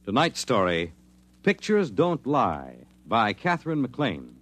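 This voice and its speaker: A man's voice: mid-range voice, huge pitch swings, slow. A man announcing a 1950s radio series in a grand, portentous, dramatic style. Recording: vintage radio broadcast over loud music. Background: loud theme music.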